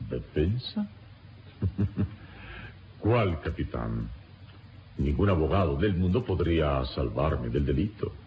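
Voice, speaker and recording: An actor playing a calm, resigned adventurer hero in a radio serial, speaking in a measured, solemn, formal way. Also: male voice, a bass voice, full character voice. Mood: wry, resigned. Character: calm, resigned turbaned adventurer hero, confessing under interrogation. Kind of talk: old radio serial acting. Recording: dull, noisy old radio recording.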